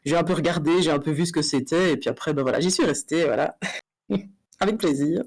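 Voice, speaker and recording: A woman's voice: deep voice, a little fast, darkish tone. A Belgian woman with a Belgian French accent being interviewed about her writing, talking casually with lots of fillers, restarts and laughs. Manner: hesitant, many fillers and restarts; laughs often, light and chatty. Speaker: interviewed writer.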